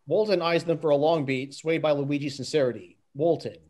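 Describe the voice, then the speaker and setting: A man's voice: on the high side, a little fast, darkish tone. A man reading stage directions and chatting in an amateur script club.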